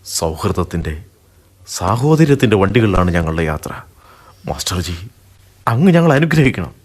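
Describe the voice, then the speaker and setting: A young actor playing a respectful, persuasive college student organizer in a radio play. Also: male voice, deep voice, very animated, moderate pace. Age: young adult. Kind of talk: radio drama acting.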